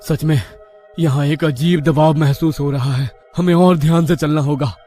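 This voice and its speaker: Earnest, higher-voiced young male adventurer acted by a man. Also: fairly even intonation, moderate pace, warm tone, faint music behind, lightly acted. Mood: wary. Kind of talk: scripted audio story acting.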